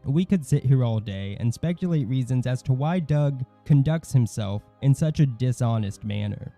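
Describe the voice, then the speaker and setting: A man's voice: mid-range voice, lively intonation, normal speed, warm tone, faint music behind. A man reading a scripted commentary as the host of an online video.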